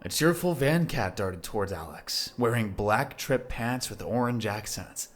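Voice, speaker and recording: A man's voice: on the low side, lively intonation, measured pace. A man narrating a scripted audio drama in a dramatic storytelling style.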